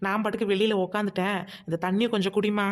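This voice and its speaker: A woman's voice: mid-range voice, flat delivery, very fast, lightly acted. A woman voicing a kind village mother-in-law who fusses anxiously over her new daughter-in-law. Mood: tender, fretful. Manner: affectionate, anxious to please.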